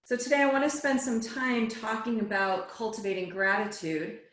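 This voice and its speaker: A woman yoga teacher guiding a relaxation and meditation class in a soothing, unhurried way, phrase by phrase. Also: fairly high pitch, fairly bright tone. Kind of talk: guided relaxation and meditation instruction. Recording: echoey, roomy sound.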